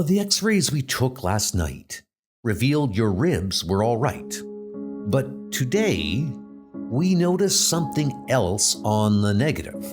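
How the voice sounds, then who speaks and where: Man playing a solemn, slow-spoken doctor. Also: mid-range voice. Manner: solemn, halting. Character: grave, frank male hospital doctor.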